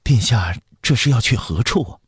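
Servile eunuch steward: very high, swooping, fearful, pleading, historical audiobook. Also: male voice, animated, normal speed, slightly rough, no echo, studio quality. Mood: anxious. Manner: deferential, pleading, fretful.

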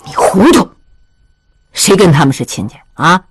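A woman's voice: on the low side, sing-song, moderate pace, noisy background. A woman voicing a spiteful, self-pitying old widow who wails her grievances. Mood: bitter. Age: older. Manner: whining, wailing complaint.

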